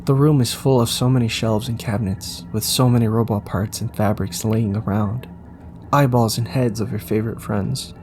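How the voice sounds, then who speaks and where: A man's voice: on the low side, fairly even intonation, normal speed, a little mellow. A man narrating a scripted audio story in the second person, with faint ambience behind him. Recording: faint background noise and ambience.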